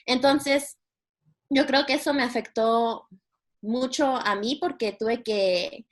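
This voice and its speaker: A woman's voice: a little high, slow pace. A young woman from Guatemala, a university student, giving a casual and hesitant personal account. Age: young adult.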